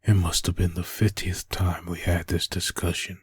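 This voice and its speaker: Brooding comic-dub husband, male actor, deep and raspy-husky, level delivery, haunted and lovesick. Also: flat delivery, moderate pace, no room sound. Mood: brooding, resigned. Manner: brooding inner monologue. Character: brooding, lovesick dead husband haunted by his wedding memories. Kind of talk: dramatic comic dub narration.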